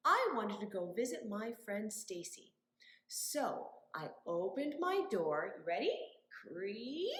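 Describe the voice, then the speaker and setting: A woman's voice: fairly high pitch, a bit bright. A woman hosting a children's storytime as a librarian, leading rhymes and stories in an encouraging, sing-song way and speaking directly to the children. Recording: roomy, echoing indoor sound.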